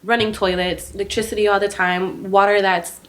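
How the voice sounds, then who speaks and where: A woman's voice: medium pitch, little pitch movement, moderate pace, slight background noise. Chatty young woman, podcast guest. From Texas, US. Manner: chatty, with frequent ums and restarts. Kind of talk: casual conversation.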